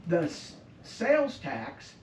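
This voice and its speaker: An older man with a loud voice speaks at a public school board meeting, slow and emphatic, repeating his points. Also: fairly high pitch, huge pitch swings. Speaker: former school district employee speaking at a board meet and greet. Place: school district office lobby at a public meeting. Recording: roomy echo, distant mic, faint background noise.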